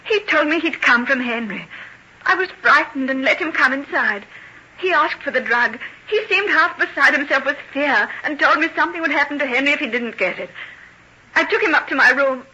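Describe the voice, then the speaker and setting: An actress playing a terrified young woman in an old-time radio melodrama, tremulous and halting as she pleads to be believed. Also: animated, bright, forceful tone, full character voice. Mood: horrified, haunted. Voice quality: high, tremulous voice. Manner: anguished, breathless, halting dramatic delivery. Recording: muffled, noisy vintage radio recording.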